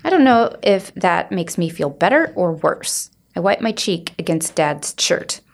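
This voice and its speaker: A woman's voice: fairly low pitch, big pitch swings, a little slow, gravelly. A woman host reading a children's novel aloud for a library podcast, then chatting casually at the end. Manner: friendly, chatty outro.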